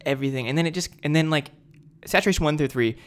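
A man's voice: fairly high pitch, rapid-fire, clean tone. A young man co-hosting a podcast, talking casually and eagerly about films and music. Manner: enthusiastic, chatty, self-aware. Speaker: podcast co-host. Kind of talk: casual chat.

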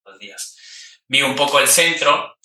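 Man, podcast host, very bright sharp voice, echoey room, mic set back from him. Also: mid-range voice, unhurried, distant mic. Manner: relaxed, thoughtful, frequent hesitations. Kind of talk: casual conversational interview.

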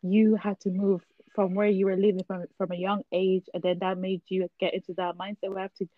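A woman's voice: mid-range voice, little pitch movement, brisk, no echo, narrow, tinny audio. A woman chatting candidly in a casual conversation, livening up as she tells anecdotes.

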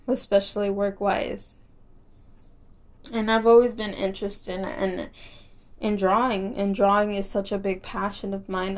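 A young woman hosting her own podcast, speaking with a light Texas country accent. She rambles in a casual, chatty way, laughing easily and circling back with plenty of fillers and restarts. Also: mid-range voice. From Texas, United States. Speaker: podcast host. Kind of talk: casual solo podcast chat.

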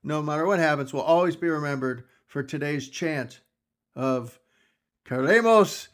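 Male co-host, slightly high voice, jokey and rambling, casual podcast banter. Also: a little slow, a bit bright, clear, resonant tone. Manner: joking, rambling, laughs between lines. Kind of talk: casual chat.

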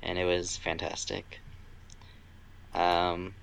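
A man co-hosting a podcast in casual chat, with a deadpan, dryly joking manner. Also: sits low, slow, gravelly, a little hiss or hum. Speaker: podcast co-host.

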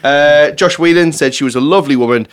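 A man's voice: fairly high pitch, fast. A man hosting a casual comedy podcast, reading out listener submissions and often breaking into laughter as he goes.

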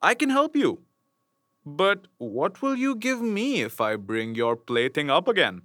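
A man's voice: a little high, sing-song, unhurried, fairly bright tone, no room sound, studio quality. A man voicing a polite but persistent male talking frog in a read-aloud fairy tale, with a coaxing, bargaining manner.

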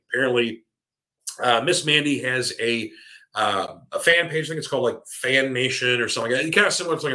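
A man hosting a casual solo chat show at home, rambling with lots of fillers and restarts, his voice tiring and a little hoarse. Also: mid-range voice, sharp tone. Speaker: podcast host. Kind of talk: casual solo chat reading listener comments. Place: home room with a cat nearby.

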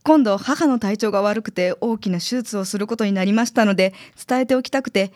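A woman's voice: mid-range voice, fairly quick, darkish tone, dry recording, clean studio sound, close to an everyday voice. A woman playing a polite, evasive client in a radio drama, formal and hesitant. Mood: guarded. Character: polite, evasive, mysterious adult female client. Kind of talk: Japanese radio drama acting.

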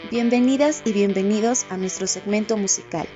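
Cusco peruvian lady host, scripted intro, normal pitch, guitar music under it. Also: normal speed, warm tone, lo-fi sound.

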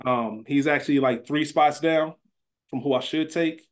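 Man, fairly high pitch, casual chat, colloquial, slang-heavy, podcast co-host. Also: normal speed.

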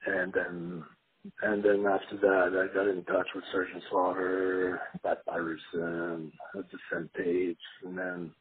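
A man's voice: low voice, monotone, slow pace, slightly rough. A man in his fifties from Montreal with a Quebec French accent, a former wrestler rambling through his story with lots of "you know" fillers. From Montreal, Canada. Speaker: former pro wrestler, guest. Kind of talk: casual interview storytelling. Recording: tinny phone line.

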